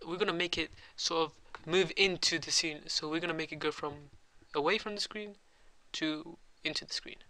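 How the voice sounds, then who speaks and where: Young guy with a cold hosting a tutorial, rambling and casual; fairly high, crisp and clear voice. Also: steady tone, normal speed, few pauses, sharp tone. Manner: rambling, lots of ums and uhs. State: has a cold. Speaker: tutorial host. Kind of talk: casual screen-recorded software tutorial.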